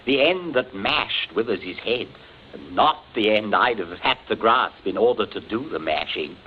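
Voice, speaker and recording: Sly, mocking gentleman captain. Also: fairly low pitch, lively intonation, unhurried. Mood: sardonic. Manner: dry, sardonic, toying. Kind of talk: 1940s radio acting. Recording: dull old radio recording with faint hiss.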